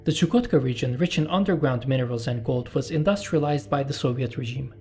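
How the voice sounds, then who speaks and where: Man narrating, mellow voice. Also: mid-range voice, soft background music. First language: Turkish. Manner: measured, explanatory, with personal asides. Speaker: channel host and narrator. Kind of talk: scripted documentary narration.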